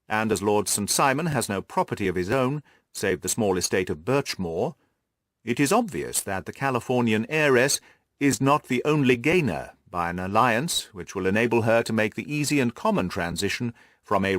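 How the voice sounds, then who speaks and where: A man voicing an earnest, orderly gentleman companion in an audiobook, measured and deliberate in manner. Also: on the low side, no room sound, clean studio sound. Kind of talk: audiobook character voice.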